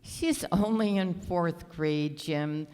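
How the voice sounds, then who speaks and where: A woman playing a calm, soothing middle-aged mother in an old-time radio play recorded on a reverberant stage. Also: fairly low pitch, slow pace, clear, resonant tone. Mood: tired.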